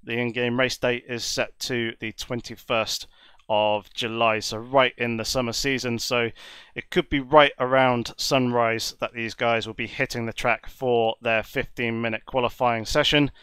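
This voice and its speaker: A man's voice: mid-range voice, flat delivery, fairly bright tone, clean tone, no echo. Congested man commentating sim racing. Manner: measured, wordy, polite. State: has a cold, slightly congested. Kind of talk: live esports race commentary.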